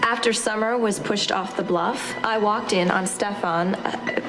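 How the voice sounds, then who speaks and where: An actress playing a poised, accusing young heiress on the witness stand in a soap drama. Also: female voice, medium pitch, measured pace, natural voice, low-quality recording. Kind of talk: television soap drama acting.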